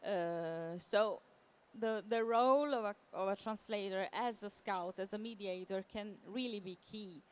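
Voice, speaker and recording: Hesitant, slow-paced woman giving a talk. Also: medium pitch, a little mellow. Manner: hesitant, halting delivery with repeated words. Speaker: literary translator, panel speaker. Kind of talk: conference presentation, semi-improvised. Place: live talk at a book fair event. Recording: clear background noise.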